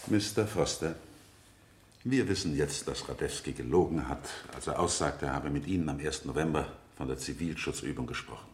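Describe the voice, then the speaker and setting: An actor playing an insistent defense lawyer in a radio play, questioning witnesses in a deliberate, rhetorical way. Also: male voice, a bass voice. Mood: probing, measured. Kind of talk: 1970 German radio play acting.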